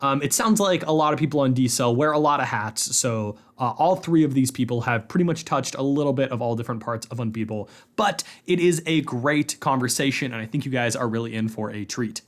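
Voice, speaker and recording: A man's voice: medium pitch, a little fast, few pauses. A man hosting a podcast in a casual, upbeat way, talking informally to listeners. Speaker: podcast host.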